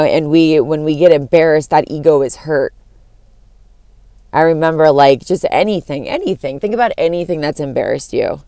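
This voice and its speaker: A young woman from Florida with a deep voice that cracks at times, musing on a casual solo podcast in a rambling, profane way full of fillers. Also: darkish tone, dry recording, clean studio sound. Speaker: podcast host.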